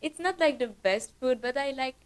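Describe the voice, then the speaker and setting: A woman's voice: high-pitched, normal speed, smooth. A teenage girl from Belgium, an exchange student, answering hesitantly and giggling between words. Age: teens.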